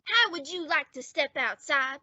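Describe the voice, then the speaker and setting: A woman's voice: high voice, expressive, normal speed, sharp tone, no room sound, dull audio, exaggerated voice. A woman playing an anxious, lovesick and cowardly teenage boy in an old-time radio comedy, earnest and overwrought. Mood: indignant, nervous.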